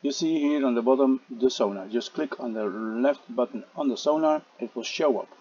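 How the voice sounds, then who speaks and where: A man's voice: mid-range voice, warm tone, slightly rough, highs cut off. Hesitant man narrating a game tutorial. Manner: halting, many "uh" fillers and restarts. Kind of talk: casual game tutorial voice-over.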